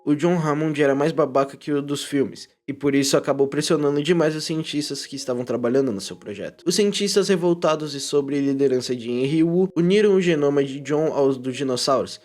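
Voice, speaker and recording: A man's voice: on the high side, a little fast, few pauses, a little mellow. A man with a Brazilian accent narrating a scripted video essay in an informal, irreverent way. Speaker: YouTuber narrator and commentator. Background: prominent background music.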